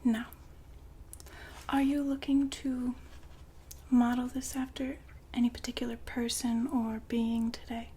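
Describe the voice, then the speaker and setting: Woman playing a softly whispering, unhurried madam. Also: a little high, level tone. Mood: smooth, attentive. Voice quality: soft, breathy whisper. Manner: polite, formal, unhurried saleswoman patter. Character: poised, businesslike madam of a futuristic robot brothel. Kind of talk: whispered ASMR roleplay, speaking to the listener as a client.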